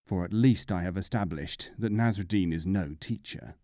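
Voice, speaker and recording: A man's voice: low voice, normal speed, dark tone, no echo, clean studio sound, natural voice. A man voicing an earnest, self-important scholar seeking wisdom in a storybook, pompous and judging. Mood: disdainful.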